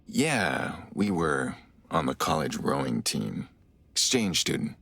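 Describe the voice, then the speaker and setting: A man playing a gruff, weary vigilante hacker in a video game, terse and husky. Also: a bass voice, big pitch swings, slow pace, fairly bright tone, dry recording, full character voice. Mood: guarded. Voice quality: gravelly, husky. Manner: terse, understated.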